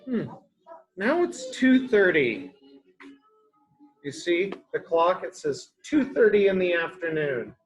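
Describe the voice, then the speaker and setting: A man's voice: sits high, sing-song, slow pace, long pauses, soft background music, low-quality recording. A man reading a picture book aloud to young children, explaining in simple, repeated words and asking questions as a teacher does.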